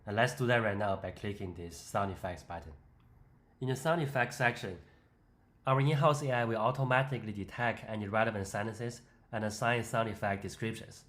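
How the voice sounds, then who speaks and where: A man presents a software tutorial in a fairly low voice. He explains the screen demo step by step in an instructional way. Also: a little fast.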